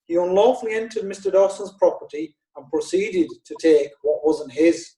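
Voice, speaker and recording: An actor playing a prosecutor addressing the court formally. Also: male voice, high-pitched, moderate pace, mellow, roomy sound, recorded from a distance, lightly acted, low-quality recording. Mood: confident. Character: male prosecutor, confident. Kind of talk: amateur audio drama acting.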